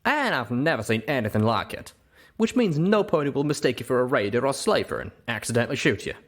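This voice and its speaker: A man's voice: medium pitch, big pitch swings, fast talker, pitched above the performer's own voice. A man voicing a friendly, easygoing male pegasus pony with a sheepish manner in an audio story. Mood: smitten, sheepish.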